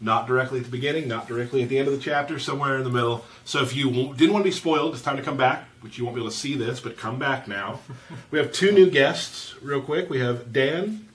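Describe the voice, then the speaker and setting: A man's voice: mid-range voice, quick pace, a bit bright, far from the mic. A man hosting a casual developer livestream, chatting with guests in a jokey, informal way. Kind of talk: casual chat, interviewing guests.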